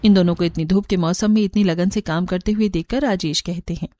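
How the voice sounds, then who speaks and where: A woman's voice: on the low side, fairly even intonation, a little fast, mellow. A woman narrating a scripted cartoon story.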